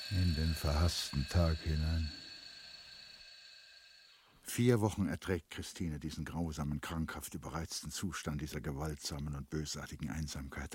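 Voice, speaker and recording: Deep-voiced male literary narrator. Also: slight background noise. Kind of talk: literary radio play narration, scripted.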